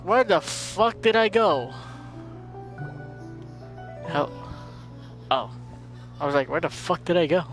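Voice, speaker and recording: A man's voice: high-pitched, animated, slow pace, pauses often, fairly bright tone. A man giving casual live gameplay commentary as a streamer, rambling and reacting, with game music behind him.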